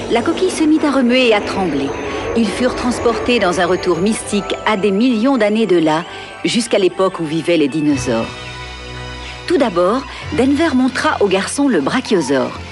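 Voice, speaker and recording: A woman's voice: mid-range voice, big pitch swings, moderate pace, lightly acted. A woman narrating a cartoon like a storybook, in a scripted dramatic manner, with music behind her.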